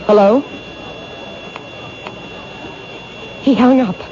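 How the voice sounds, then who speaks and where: A woman's voice: a little high, brisk, natural voice. An actress playing a wary young bookstore owner in a 1970s radio drama, tense in her replies. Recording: hissy lo-fi old radio broadcast.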